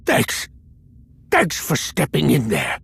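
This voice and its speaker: An actor playing a nervous, eccentric stim-addicted hacker in a video game, raspy and rambling in a stammering way. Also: male voice, medium pitch, wildly swooping pitch, slow pace, a bit bright, full character voice. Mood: eager, rambling. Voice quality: raspy, scratchy. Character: jittery, eccentric male slicer addicted to stims.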